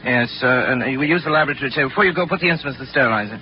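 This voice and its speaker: A hissy, muffled 1940s radio recording carries a middle-aged male scientist acted by a man. He is obsessed and commanding, speaking in clipped, authoritative phrases at an ordinary pitch. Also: very fast, close to an everyday voice. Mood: brisk, commanding. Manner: clipped, authoritative, coldly insistent.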